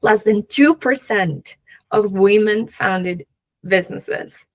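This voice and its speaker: A woman with a Peruvian accent, talking as a guest expert in a conversational interview, halting and often laughing lightly mid-sentence. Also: medium pitch, low-quality recording. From Peru. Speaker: guest expert, venture capital professional.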